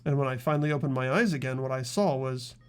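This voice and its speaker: A man's voice: medium pitch, fast talker, smooth, voice pushed down, lightly acted. A man voicing a plain young male student who narrates his own thoughts. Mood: bewildered. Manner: earnest inner monologue.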